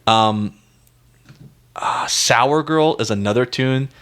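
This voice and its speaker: Man, medium pitch, podcast co-host, casual music chat, indecisive, self-deprecating. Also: slow, a bit bright, smooth.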